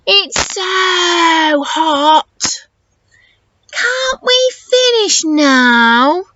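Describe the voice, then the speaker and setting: A woman plays a young boy from a picture book, excitable and quick to grumble. The voice is high and very slow, stretching words out into exclamations. The recording is slightly muffled. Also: slight background noise, exaggerated voice. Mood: grumbling, whiny.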